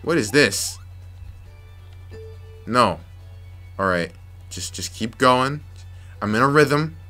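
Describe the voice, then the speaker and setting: A young man commentating his gameplay, frustrated and muttering to himself with sudden yelps, slightly raspy from yelling. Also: mid-range voice, animated, slow pace. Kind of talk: let's play commentary. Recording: faint game music behind.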